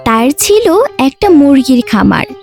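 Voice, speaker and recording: A motherly cartoon animal voiced by a woman, high-pitched and very expressive. She is firm yet indulgent, with lively intonation over faint music. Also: unhurried, gravelly, full character voice. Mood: storytelling. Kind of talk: cartoon storytelling.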